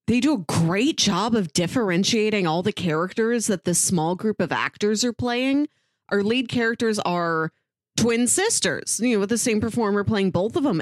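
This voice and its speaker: A woman's voice: mid-range voice, no echo, clean studio sound. A woman co-hosting a podcast, giving her opinions in an enthusiastic, casual review chat. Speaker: podcast co-host.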